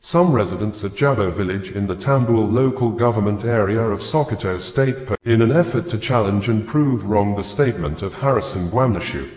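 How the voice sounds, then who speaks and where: A man's voice: lowish voice, a little fast, hardly any pauses, darkish tone, roomy sound. A man reading scripted news in a formal manner, in long run-on sentences. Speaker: news reader.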